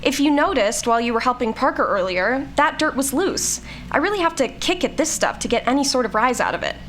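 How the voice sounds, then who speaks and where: Woman acting a high-voiced snarky scientist. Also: expressive, brisk, a little hiss or hum. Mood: curious, focused. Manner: dry, snarky, instructing. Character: snarky, brisk female scientist exploring a planet. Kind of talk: audio drama acting.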